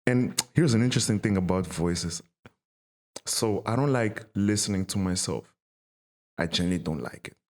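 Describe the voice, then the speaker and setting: Deep-voiced young man chatting. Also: expressive, normal speed, a little mellow. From Mozambique. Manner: informal and slangy. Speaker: podcast host. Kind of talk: casual chat, switching between languages.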